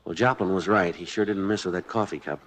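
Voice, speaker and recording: An actor playing a terse, unflappable police sergeant with an American accent, clipped and deadpan in the style of 1950s radio drama. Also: male voice, low-pitched, quick pace, a little hiss or hum. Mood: dry, businesslike. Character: terse, unflappable male police sergeant narrating his case. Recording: vintage 1950s radio recording.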